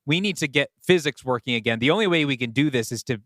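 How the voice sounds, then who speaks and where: A man co-hosting a podcast, talking through story ideas casually and reasoning them out in a measured way. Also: medium pitch, animated, a bit bright, no room sound, studio quality. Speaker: podcast co-host and story writer. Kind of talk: casual brainstorming conversation.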